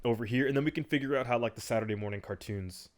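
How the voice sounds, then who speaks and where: A man's voice: medium pitch, rapid-fire. Casual male podcast co-host. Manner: animated, steering the discussion. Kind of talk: casual chat.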